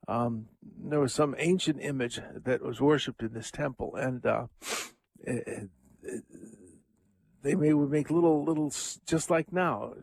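A man's voice: medium pitch, creaky, a little mellow, no echo. A man hosting a radio show, talking through a Bible passage in a rambling, casual way with many fillers, restarts and wry asides. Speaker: radio host and Bible commentator. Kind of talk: casual Scripture commentary.

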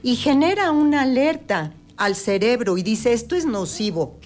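A woman's voice: a little high, expressive, moderate pace, darkish tone, faint music behind. A woman on a talk show, patiently explaining health topics with everyday examples. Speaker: health guest expert.

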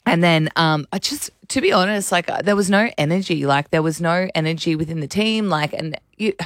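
A young Australian woman co-hosting breakfast radio, her voice hoarse and raspy, chatting in a rambling way with frequent laughs. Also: fairly low pitch, lively intonation, a little fast, dry recording, studio quality. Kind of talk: casual breakfast radio banter. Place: radio studio.

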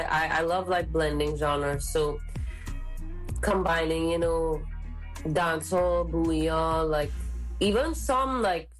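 Low-voiced young singer woman, chatty. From Trinidad and the Caribbean islands. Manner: bubbly, heavy use of "like", confident. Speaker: singer guest. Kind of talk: casual interview chat.

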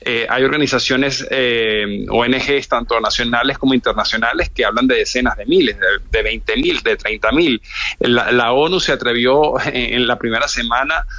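A man with a Venezuelan accent giving an unscripted live news report, halting and repeating words as he searches for phrasing. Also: mid-range voice, bright, forceful tone, no echo. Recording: dull, narrow-band remote audio link.

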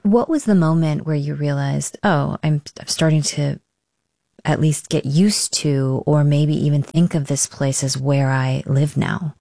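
A woman conducting a broadcast interview, asking measured, probing questions. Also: deep voice, vocal fry, mellow, no echo, studio quality.

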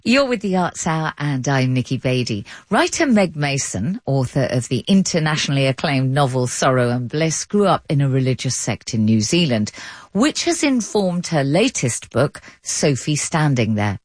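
Lively low-voiced woman presenting radio. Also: moderate pace, no room sound, clean studio sound. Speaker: radio arts show presenter. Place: radio studio.

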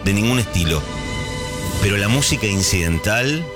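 Low-voiced Rioplatense radio host. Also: male voice, animated, normal speed, music in the background. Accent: Rioplatense Spanish. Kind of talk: late-night radio call-in talk.

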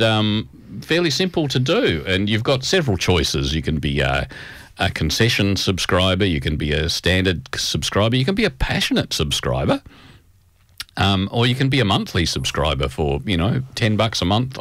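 A man's voice: sits low, fairly bright tone, no room sound. A man hosting a radio show in casual, rambling, conversational talk from a studio. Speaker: radio host. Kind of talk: casual on-air chat. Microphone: close studio microphone.

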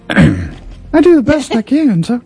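A man who reports traffic on a morning radio show, joking along in a modest, deadpan way. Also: extremely high pitch, drawn-out words, gravelly, husky, soft background music. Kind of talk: casual morning radio banter.

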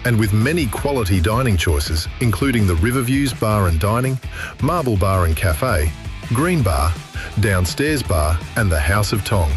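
Male voice-over, lowish, smooth club ad read, clear guitar music underneath. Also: animated, moderate pace. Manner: smooth promotional delivery. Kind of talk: scripted radio ad read. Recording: ad with music bed.

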